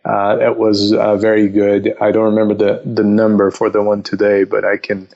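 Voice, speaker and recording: A man explaining things as a podcast guest in a methodical, polite, somewhat halting way. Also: on the low side, monotone, vocal fry, darkish tone, lo-fi sound. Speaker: investment counselor, podcast guest. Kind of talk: casual explanatory podcast talk.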